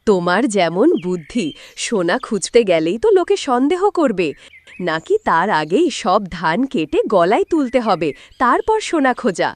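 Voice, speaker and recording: Bossy village wife, played by a woman, shrewd and scolding, very lively swings for a cartoon. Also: medium pitch, normal speed, close to an everyday voice. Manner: scolding, emphatic, theatrical. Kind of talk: cartoon moral-story voice acting.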